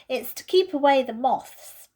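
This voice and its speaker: Storybook schoolgirl, woman performer: raised pitch, sensible older sister, emphatic and unhurried. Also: expressive, dark tone. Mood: practical, complaining.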